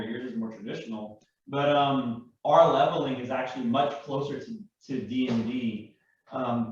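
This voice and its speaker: A man chatting casually and enthusiastically as he explains game rules, rambling and correcting himself. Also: mid-range voice. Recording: echoey room, distant mic, muffled sound.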